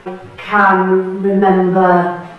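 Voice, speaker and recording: Woman, about 60, from Tyneside, reminiscing, hesitant, medium pitch, roomy echo. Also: completely flat delivery, extremely slow, highs cut off. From Tyneside, England. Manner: thoughtful, hesitant, with restarts. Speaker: oral history interviewee. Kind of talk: reflective personal reminiscence. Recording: very echoey room, distant mic.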